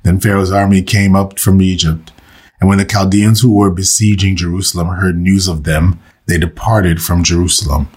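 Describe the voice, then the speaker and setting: Husky low-voiced man, losing his voice. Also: little pitch movement, darkish tone. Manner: slow, measured reading. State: under the weather, losing his voice. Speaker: pastor leading scripture reading. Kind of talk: meditative scripture reading and prayer. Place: recorded for online listeners.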